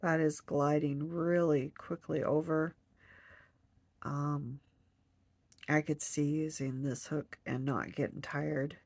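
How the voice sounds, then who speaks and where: A woman's voice: deep voice, little pitch movement, slow pace, pauses often, a little mellow, no echo. A woman crocheting on camera, a little stuffed up, chatting to herself and counting stitches under her breath. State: stuffed up nose. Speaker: crochet vlogger. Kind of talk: casual hands-on crafting demo.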